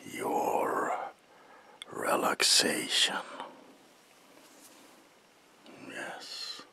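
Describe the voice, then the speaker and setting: A man playing a melancholy, paranoid vampire ghost of an asylum in a breathy, theatrical ASMR whisper, close to the mic. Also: pushed and bright, exaggerated voice. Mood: soft, soothing. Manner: slow, brooding, theatrical. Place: small dim indoor room.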